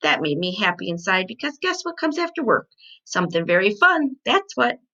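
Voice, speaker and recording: A woman's voice: medium pitch, sing-song, moderate pace, pushed and bright, dull audio. A woman voicing a chatty, indignant kindergarten girl from a storybook, with emphatic childish phrasing.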